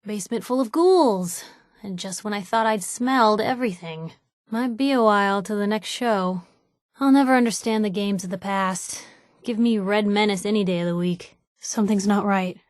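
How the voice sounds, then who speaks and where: A young woman with an American accent playing a plucky, wisecracking reporter companion in a video game, with a wry, expressive delivery. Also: mid-range voice, no echo, studio quality, close to an everyday voice. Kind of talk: video game voice acting, in-character banter.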